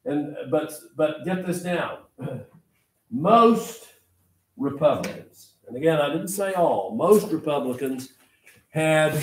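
An older man with an Oklahoma accent lecturing as a history teacher, emphatic, repeating key phrases and quizzing his listeners. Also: medium pitch. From Oklahoma, United States. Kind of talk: classroom lecture. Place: medium-sized classroom, indoors. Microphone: far camera at back of room. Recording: echoey classroom, distant camera mic, background noise.

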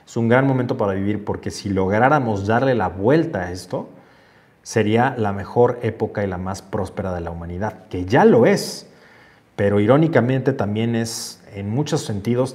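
A man's voice: on the low side, darkish tone. A man in his forties hosting a casual stream from a home office, reading viewer comments aloud and musing on them earnestly. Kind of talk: casual livestream chat. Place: home office with shelves, indoors.

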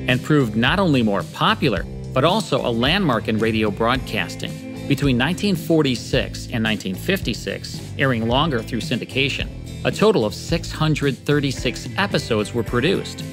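A man in his fifties, a podcast host, reading a scripted introduction in a studio in a steady, informative way. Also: mid-range voice, moderate pace. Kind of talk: scripted narration. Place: studio set with a table. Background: music.

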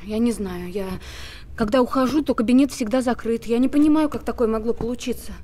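An actress playing a modest, anxious young woman on a theatre staff in a film drama, hesitant and soft-spoken. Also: on the high side, fairly quick, lightly acted. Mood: distressed, defensive. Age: young adult.

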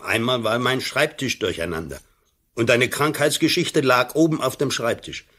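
A man's voice: medium pitch, moderate pace, sharp tone, slightly rough. A man playing a worried old doctor, earnest and hesitant, in a radio drama. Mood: flustered. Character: worried old male doctor, loyal, flustered school friend. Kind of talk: German-language radio drama acting.

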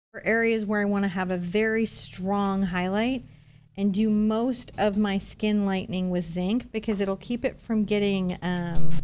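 A woman's voice: medium pitch, darkish tone. A middle-aged woman giving a conversational step-by-step painting lesson, chatty and explanatory with reassuring asides. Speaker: painting instructor, host.

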